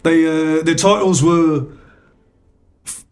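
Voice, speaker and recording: Man putting on a gravelly British old punk. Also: on the high side, steady tone, slow pace. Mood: deadpan. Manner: slangy, blunt, cocky. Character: brash aging British punk rocker turned auditioning actor. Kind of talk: improvised comedy character bit.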